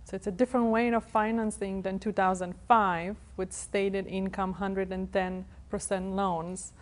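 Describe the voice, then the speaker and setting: A woman's voice: mid-range voice, little pitch movement, measured pace. A woman in her thirties from Poland, with a Polish accent, answering interview questions as a real estate professional. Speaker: guest, real estate broker. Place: TV studio.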